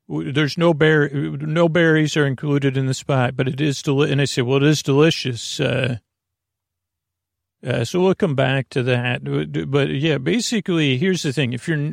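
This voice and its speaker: Male podcast host, low-pitched for no one, gravelly and creaky, close dry sound; halting, whimsical, wandering monologue meant for bedtime. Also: no room sound. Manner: halting, meandering, self-interrupting, talks to himself.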